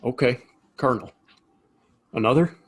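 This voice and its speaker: A husky-voiced saloon keeper from an Old West audio drama, acted by a man. He is polite and uneasy, stumbling over his words as he tries to sound natural. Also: medium pitch, normal speed, lightly acted. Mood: deferential. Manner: hesitant, stammering. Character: nervous, deferential small-town saloon barkeep. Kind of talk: Western audio play acting.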